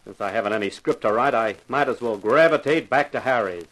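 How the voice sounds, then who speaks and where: An actor playing an excitable but easygoing television scriptwriter in a 1950s radio drama. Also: male voice, medium pitch, fairly quick, natural voice, poor audio. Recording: vintage 1950s radio recording.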